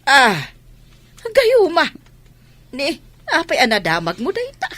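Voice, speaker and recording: A woman's voice: high-pitched, huge pitch swings, slow, fairly bright tone, heavily acted. A woman playing a dramatic, fretting village mother who exclaims and scolds, in Ilocano radio comedy-drama style. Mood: alarmed, probing.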